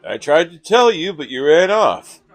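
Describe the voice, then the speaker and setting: A man's voice: fairly high pitch, big pitch swings, normal speed, no room sound. An actor playing a sensible, exasperated young man who complains at his friend in a comedy radio play. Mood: weary, exasperated. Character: exasperated, sensible young male best friend named Stu.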